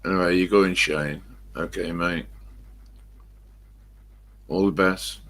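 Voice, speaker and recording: An elderly English man with a croaky smoker's voice, rambling casually to viewers on a live stream and answering his own questions. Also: low-pitched, steady tone, slow, fairly bright tone. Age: 70s or older. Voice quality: croaky, husky smoker's voice with a cough.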